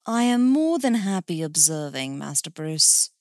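A woman's voice: deep voice, very animated, measured pace, darkish tone, dry recording, studio quality, full character voice. Woman as a formal, wry butler. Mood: wry, courteous. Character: courteous, quietly amused male butler.

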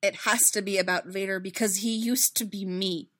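A woman's voice: medium pitch, fairly even intonation, fairly bright tone, natural voice. Woman acting an anguished, impassioned young man. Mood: wretched longing. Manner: impassioned, halting, insistent repetition. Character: anguished young male Jedi, self-doubting, terrified of himself.

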